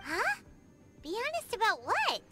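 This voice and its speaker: A woman's voice: very high voice, wildly swooping pitch, a little slow, full character voice. A woman voicing a tiny, chirpy, childlike fairy girl in a video game, cheeky and indignant. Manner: childlike, speaks of herself in third person. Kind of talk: scripted video game dialogue. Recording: loud game music behind the voice.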